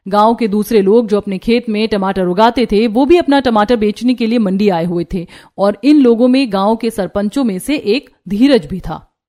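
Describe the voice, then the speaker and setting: Mid-pitched woman story narrator. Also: moderate pace, dark tone.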